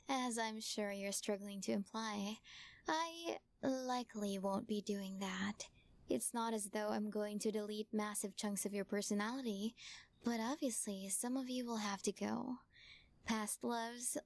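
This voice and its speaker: A woman voicing a sweetly possessive witch in an audio roleplay, talking softly and teasingly and often dropping into whispers. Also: fairly high pitch, no room sound. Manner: soft, teasing, coaxing, slips into whispers.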